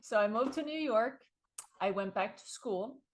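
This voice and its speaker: A woman's voice: a little high, a little slow. Swedish-accented woman telling her story. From Sweden. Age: middle-aged. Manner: animated storytelling, chuckles often. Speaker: guest author. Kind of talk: conversational interview.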